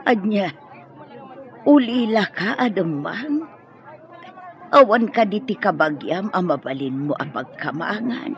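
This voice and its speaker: An actress playing a kindly, charitable older woman, gentle and motherly, with an Ilocano accent in radio drama style. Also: mid-range voice, lively intonation, slow pace, long pauses, highs cut off, some chatter behind, slight background noise. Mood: kind, concerned.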